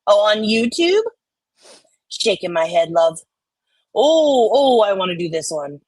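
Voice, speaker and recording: A woman co-hosting casually, chatting and reading online comments aloud in a loud, theatrical, emphatic manner. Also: mid-range voice, big pitch swings, measured pace, slightly rough, no echo. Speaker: co-host.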